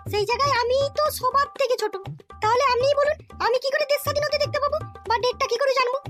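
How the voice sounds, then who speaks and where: A little cartoon schoolgirl pipes up in an extremely high voice. She talks back fast and sassily, with music clearly behind her. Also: steady tone. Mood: cheeky. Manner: sassy, quick-talking back. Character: sassy little schoolgirl, smallest in class. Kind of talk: comedy cartoon voice acting.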